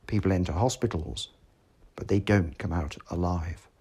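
A man reading a history article aloud in a formal, measured manner. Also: low voice, mellow, husky. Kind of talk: scripted narration, reading an essay.

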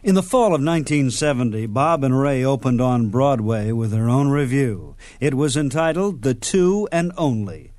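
A man's voice: mid-range voice, expressive, moderate pace, hardly any pauses, a little mellow, dry recording. Dry-sounding male radio host. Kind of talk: scripted narration introducing old radio clips.

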